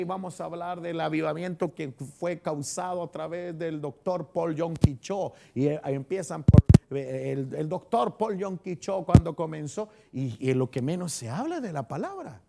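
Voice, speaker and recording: A Chilean man with a raised, gravelly voice teaching a church Bible study. He talks loosely, circles back on his words and keeps asking the congregation questions. The effect is casual and chatty. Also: fairly high pitch, expressive, measured pace, few pauses, background noise. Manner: chatty, asks the congregation questions, frequent restarts. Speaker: pastor. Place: church hall.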